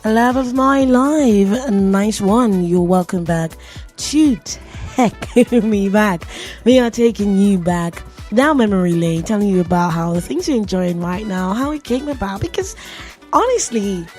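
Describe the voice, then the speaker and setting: A woman's voice: medium pitch, animated, unhurried, a little mellow, soft background music. A woman with a Nigerian accent hosting a radio show, talking in a chatty, playful way and often laughing. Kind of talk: casual radio tech talk. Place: radio studio.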